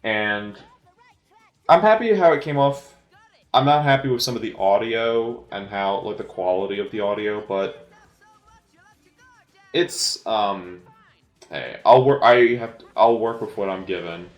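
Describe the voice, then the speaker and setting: A man giving casual, unscripted movie commentary as an amateur, speaking hesitantly with halting, trailing pauses. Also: on the low side, roomy sound, faint music behind.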